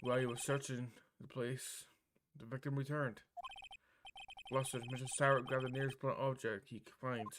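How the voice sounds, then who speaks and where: A man's voice: mid-range voice, flat delivery, moderate pace, a bit bright, slight background noise. A man reading a visual novel's dialogue and narration aloud informally, now and then stumbling over the words. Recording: music under the voice. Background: game music.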